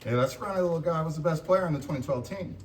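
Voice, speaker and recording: An actor playing a blunt, slangy, trash-talking football tailgater in a comedy table read. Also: male voice, fairly high pitch, fast, close to an everyday voice. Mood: grudging. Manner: emphatic, slangy. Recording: distant mic, clicks and room noise.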